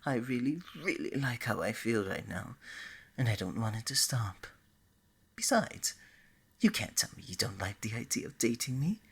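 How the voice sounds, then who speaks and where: A woman's voice: rumbling low, sing-song, normal speed, slightly rough, heavily acted. A woman playing a flirty, scatterbrained god of love in an ASMR romance roleplay, speaking to the listener in a playful, coaxing way. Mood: lovestruck, coaxing. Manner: playful, coaxing, bubbly and hesitant by turns.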